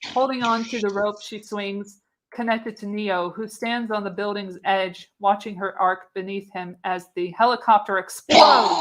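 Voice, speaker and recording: A woman reads stage and action directions as the narrator of a radio play. Her voice sits at a medium pitch, and her delivery is dramatic and scripted, with an occasional stumble over a word. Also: fairly bright tone, low-quality recording. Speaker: narrator, show host. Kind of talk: reading screenplay directions for live radio play.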